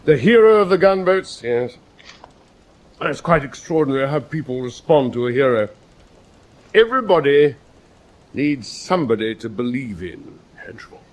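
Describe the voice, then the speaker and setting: An actor playing a vain, boastful British naval commander in a radio drama, with a pompous way of talking. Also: male voice, medium pitch, big pitch swings, moderate pace, pauses often, close to an everyday voice. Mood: self-satisfied. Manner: pompous, self-congratulatory.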